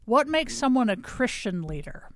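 A woman's voice: on the high side, big pitch swings, moderate pace. A woman who teaches leadership, asking curious interview questions. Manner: inquisitive, curious.

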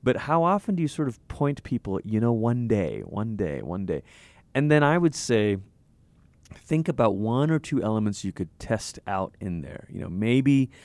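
A man from Malaysia, a pastor, talking in a lively, thoughtful way in an interview and laughing easily. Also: lowish voice, no room sound. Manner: animated, thoughtful, quick to laugh. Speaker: pastor and author. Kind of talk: conversational interview.

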